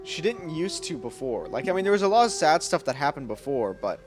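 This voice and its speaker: A man's voice: a little high, big pitch swings, fairly quick, faint music behind. A man giving casual, playful live gameplay commentary as a streamer, informal and slangy. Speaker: game streamer.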